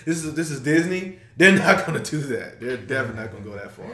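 A young man hosting a casual movie reaction chat, excitable and animated, sometimes trailing off into low mumbles, recorded on a webcam in a small room. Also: quick pace, roomy sound. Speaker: reaction video host. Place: small room indoors, seated.

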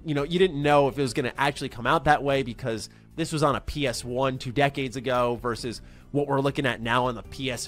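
A young man talking casually to camera from a home gaming room into a close desk microphone, animated and upbeat, with quick bursts of excitement. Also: on the high side. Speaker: gaming YouTuber and voice actor. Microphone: large desk mic close up.

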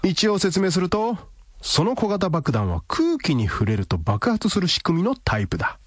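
An actor playing a ruthless, sardonic man in a radio drama, deadpan and commanding. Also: sits high, normal speed, dry recording, clean studio sound, heavily acted. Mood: coolly threatening. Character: cool, ruthless, sardonic man blackmailing a villain.

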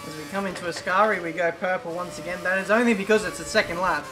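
A man with a British accent talking over his racing game in a chatty, excitable play-by-play, his voice a little croaky. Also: high-pitched, fast, background noise. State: slightly croaky. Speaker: gaming YouTuber.

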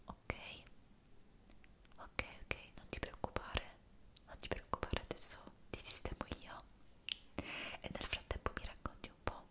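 A woman whispering softly in an ASMR roleplay as an attentive barber, gently reassuring her client. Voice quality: soft, unvoiced whisper.

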